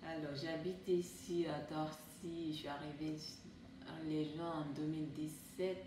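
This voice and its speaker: A young woman from Portugal, a resident of a youth housing residence, chatting lively about her life there. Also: low voice, a little slow. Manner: chatty and lively, laughs easily. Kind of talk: testimonial interview. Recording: echoey room, distant mic, background noise.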